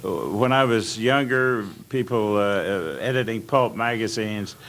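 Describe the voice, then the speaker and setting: An older American man telling anecdotes as a guest on an informal panel, halting and rambling as he reminisces. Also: lowish voice, a bit bright. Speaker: panel guest at old-time radio convention. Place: indoor convention panel room. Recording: clearly noisy convention room recording.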